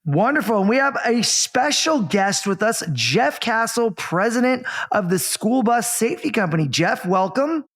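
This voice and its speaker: A man's voice: extremely high pitch, big pitch swings, moderate pace, few pauses, fairly bright tone. A man hosting a podcast, introducing guests in an upbeat, conversational style. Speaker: podcast host.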